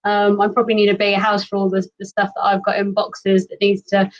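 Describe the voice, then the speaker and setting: A woman's voice: medium pitch, monotone, fast talker, lo-fi sound. A woman hosting a live stream over a video call, upbeat and greeting viewers. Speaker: host.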